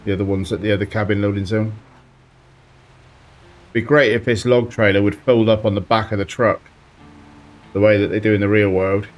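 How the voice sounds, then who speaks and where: A man with a slight sore throat giving relaxed commentary while playing a driving game, thinking aloud as he goes. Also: on the low side, normal speed, pauses often. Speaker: let's play host. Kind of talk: casual gameplay commentary.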